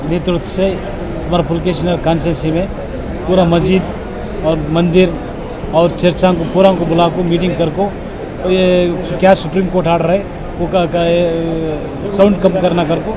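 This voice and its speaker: A man with a South Indian Bangalore accent giving informal press remarks in halting, broken colloquial phrasing. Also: a little high, steady tone. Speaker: politician. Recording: crowded noisy urban surroundings.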